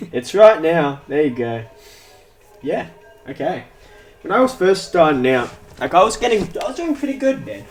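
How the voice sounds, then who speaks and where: A man's voice: on the high side, big pitch swings, moderate pace, long pauses, soft background music. A nineteen-year-old man streaming a game, chatting casually in a rambling, talkative way while answering chat, with a voice that sounds younger than his age. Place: at home in front of a big TV.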